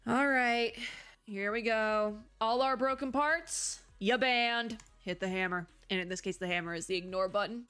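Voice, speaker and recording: A woman's voice: fairly high pitch, moderate pace, a bit bright, smooth. Woman casually chatting through game commentary. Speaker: video game reviewer and streamer.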